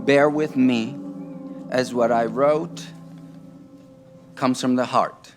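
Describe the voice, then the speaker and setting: A man's voice: medium pitch, fairly even intonation, takes it slowly. A man from Costa Rica giving a prepared, personal speech. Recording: music playing behind the speech.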